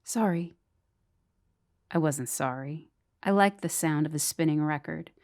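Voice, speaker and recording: Low, subdued, brooding girlfriend. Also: a little slow, darkish tone. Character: bleak, resentful girlfriend narrating a ghost story. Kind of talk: first-person horror audio drama narration.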